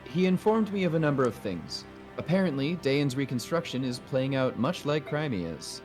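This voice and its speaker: Man voicing a lively, earnest young queen. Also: medium pitch, faint music behind, lightly acted. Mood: grave. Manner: formal, measured, earnest. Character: young earnest queen, gentle yet resolute. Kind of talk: game dialogue read aloud in a fan dub.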